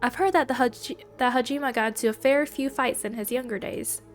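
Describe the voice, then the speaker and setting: A woman's voice: high-pitched, fairly quick, faint music behind. A woman playing a game, reading its dialogue aloud and commenting playfully in between, her voice stuffy from allergies. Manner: reads lines and then comments playfully. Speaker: let's play gamer.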